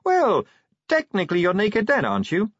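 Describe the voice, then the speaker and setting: A man's voice: sits high, wildly swooping pitch, moderate pace, no room sound, muffled recording, pitched above the performer's own voice, full character voice. A man voicing a teasing, pedantic female vampire in an audiobook, needling with pointed logic. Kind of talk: audiobook character voice.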